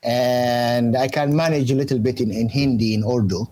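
A man's voice: mid-range voice, steady tone, normal speed, mellow. A man from Saudi Arabia whose first language is Arabic, speaking in a hesitant conversational interview with many fillers and restarts. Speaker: guest, consultant based in Jeddah.

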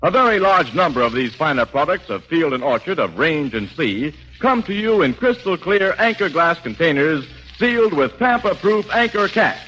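Polished American male announcer, forceful and lively, 1940s sponsor read, dull vintage broadcast sound. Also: a little high, moderate pace, hardly any pauses, breathy edge. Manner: polished, emphatic. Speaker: radio announcer. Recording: muffled 1940s radio recording.

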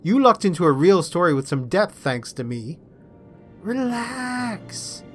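A man's voice: high-pitched, big pitch swings, slow pace, soft background music, pitched above the performer's own voice, exaggerated voice. A man voicing a good-humoured, put-upon young student boyfriend in a storybook reading. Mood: sputtering, bantering.

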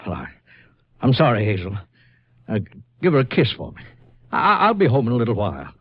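A man's voice: medium pitch, huge pitch swings, no echo. An actor playing a desperate, protective car dealer and father, tense and indignant, in 1950s radio drama style. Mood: evasive, weary. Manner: tense, indignant, halting when evasive. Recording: dull vintage radio recording.

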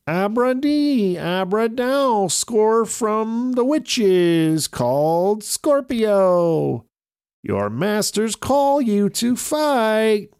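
A man voicing an evil, scheming female witch in a children's story, with theatrical, drawn-out villainy. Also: high voice, very animated, a little mellow, smooth, dry recording, studio quality, pitched above the performer's own voice, exaggerated voice. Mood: chanting spells.

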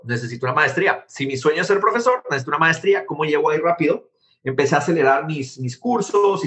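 A man from Guatemala tells his own story in a quick, animated flow. His voice sits a little high and sounds bright and forward. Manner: animated, quick, jumps between anecdotes. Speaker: interview guest, tech founder. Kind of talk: conversational storytelling.

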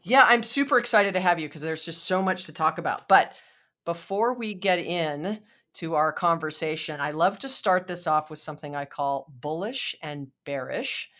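Female podcast host, slightly low voice, chatty interviewer. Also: expressive, moderate pace, fairly bright tone. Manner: chatty, many fillers and restarts.

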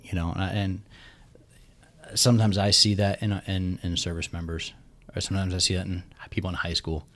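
A man's voice: low voice, flat delivery, brisk, fairly bright tone. A man in his thirties, a military veteran, talking casually and emphatically, often repeating words as he thinks, his voice still worn from recently losing it. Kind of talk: casual podcast conversation.

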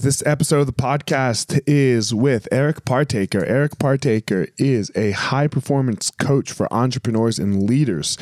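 Energetic slangy podcast host man. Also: mid-range voice, no room sound, studio quality. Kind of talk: casual podcast chat.

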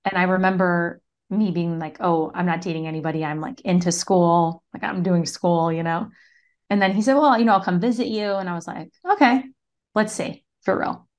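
Low-voiced woman telling stories casually. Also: normal speed. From US East Coast. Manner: casual storytelling, many "like" fillers. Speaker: podcast guest, business mentor. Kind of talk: conversational interview.

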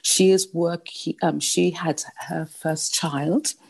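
An older woman in her sixties with a well-spoken London English accent tells her life story in an interview. Her voice sits low with a gravelly, mellow tone. She talks in a rambling, halting way, full of fillers and restarts. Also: fairly even intonation. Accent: English, London middle class. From Nigeria. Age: 60s. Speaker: guest. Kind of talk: casual interview, personal storytelling.

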